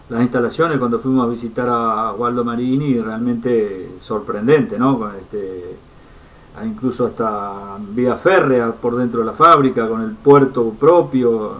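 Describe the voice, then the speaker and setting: A man's voice: medium pitch, fairly even intonation, measured pace, far from the mic. A man hosting an interview, friendly and hesitant, filling with "eh" and "ujú". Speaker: interview host.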